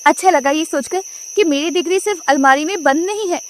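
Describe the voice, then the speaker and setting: A woman voicing a confident young woman who sells samosas and speaks calmly about her work in a storybook cartoon. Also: very high-pitched, a little fast, slight background noise, voice pushed up. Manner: calm, self-assured.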